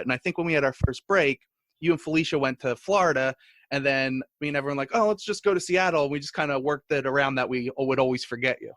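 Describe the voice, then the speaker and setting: A man's voice: fairly high pitch, a bit bright, dry recording. A man co-hosting a comedy podcast, chatting casually in a joking, rambling way. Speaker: guest podcast co-host.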